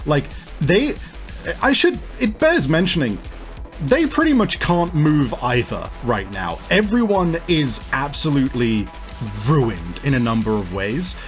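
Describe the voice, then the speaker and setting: Guy running a tabletop rpg, making up the scene as he goes, kinda halting, music behind him. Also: fairly high pitch, sing-song. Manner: halting, pieces scenes together as they go. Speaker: game master. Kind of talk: improvised tabletop game narration.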